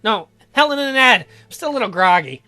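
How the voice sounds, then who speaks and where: A woman's voice: a little high, animated, brisk, pushed and bright. A woman voicing a nervous, eager young man in a visual novel, hesitant, groggy and stammering. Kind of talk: visual novel read aloud in character.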